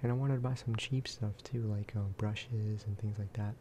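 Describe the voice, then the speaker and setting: A man giving soft-spoken ASMR commentary over a game, casual and rambling. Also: on the low side, steady tone, normal speed, slight background noise. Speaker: gaming video creator.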